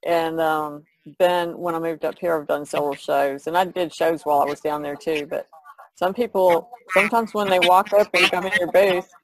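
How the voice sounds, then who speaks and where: Low-pitched woman chatting on a podcast. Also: steady tone, moderate pace, rough recording. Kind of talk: casual podcast chat.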